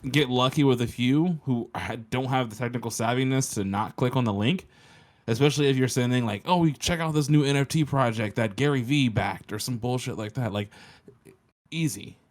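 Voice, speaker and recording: A man's voice: medium pitch, a little fast. A man chatting casually and profanely as a podcast panelist. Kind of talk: casual profane group chat.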